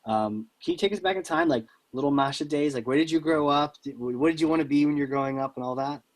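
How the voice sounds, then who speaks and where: A man's voice: a little high, fast. A man hosting an interview podcast in a casual, chatty style.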